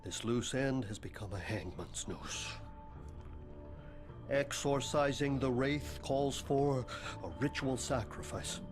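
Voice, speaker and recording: Game role: morbid, bookish professor, middle-register voice, stiffly formal and deadpan. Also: male voice, medium pitch, slow pace, music in the background. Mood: solemn, dry. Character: pompous, morbid, bookish male occult professor, deadpan and eccentric. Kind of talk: video game cutscene acting.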